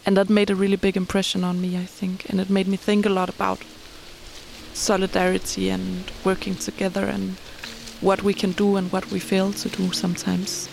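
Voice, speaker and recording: A woman giving a thoughtful, reflective account, often pausing in mid-sentence. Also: medium pitch, monotone, creaky. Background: crowd and ambient noise.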